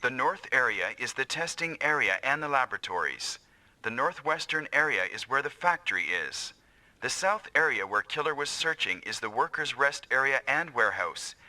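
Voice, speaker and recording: An actor voicing a dutiful, earnest military operator in an anime-style video game, with crisp briefing delivery. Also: male voice, medium pitch, lively intonation, moderate pace, pushed and bright. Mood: businesslike. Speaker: operations and communications officer.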